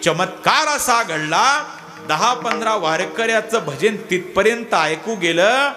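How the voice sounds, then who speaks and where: A man's voice: high voice, expressive, slow pace. A male preacher with a rural Maharashtrian Marathi accent, telling devotional stories emotively and repeating lines for emphasis as he addresses his listeners directly. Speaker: Varkari kirtan preacher. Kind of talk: devotional sermon with dramatic storytelling.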